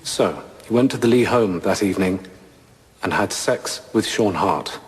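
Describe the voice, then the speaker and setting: An actor playing a composed prosecuting barrister in a courtroom audio drama, asking measured, pointed questions. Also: male voice, low voice, big-room sound. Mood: probing, composed.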